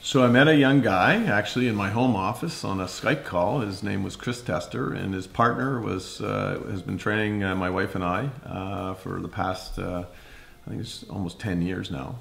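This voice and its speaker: Canadian man, fifties, very deep voice, halting delivery, casual interview. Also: creaky, fairly bright tone. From Ottawa, Canada. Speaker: investor and mentor being interviewed. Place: home kitchen, indoors.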